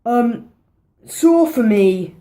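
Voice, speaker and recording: Hoarse woman rambling about films. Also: on the high side, animated, very slow, mellow. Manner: rambling, swears freely, repeats herself. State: hoarse, losing her voice. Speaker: movie reviewer. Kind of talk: casual movie review vlog.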